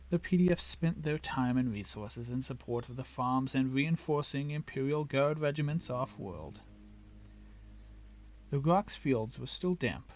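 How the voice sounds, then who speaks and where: A man reading a scripted story as a narrator, with a measured, literary and theatrical delivery. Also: medium pitch, muffled recording. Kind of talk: dramatic scripted audiobook narration.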